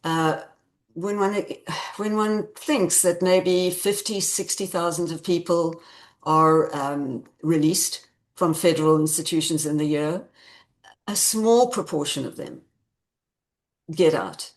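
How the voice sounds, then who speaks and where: A woman speaks in a low register as an author being interviewed. She explains things conversationally and with measured care, leaning on repeated phrases to make a point. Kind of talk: conversational interview answers about own book.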